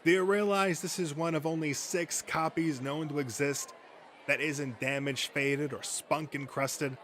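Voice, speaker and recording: A man's voice: a little high, steady tone, a little slow, a bit bright, a little hiss or hum. A man voicing a pushy, sleazy comic book dealer in a comic dub, rolling through his sales patter with relish. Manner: salesman patter, relishing each item in lists. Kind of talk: comic dub character acting.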